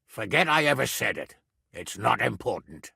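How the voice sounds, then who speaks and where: An actor voicing a gruff, hot-tempered but loyal middle-aged medieval nobleman in a video game, with a rough, gravelly voice and a blunt, lordly, emphatic manner. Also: medium pitch, very animated, normal speed, bright, forceful tone, no room sound, clean studio sound, full character voice. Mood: brusque, frank. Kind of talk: dramatic video game voice acting.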